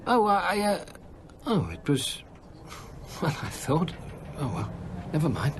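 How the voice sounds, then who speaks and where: Anxious, animated English gentleman. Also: fairly high pitch, unhurried, background noise. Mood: nervous, puzzled. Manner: hesitant, stammering politeness. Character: anxious, polite, scholarly English gentleman, increasingly haunted. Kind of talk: radio drama acting.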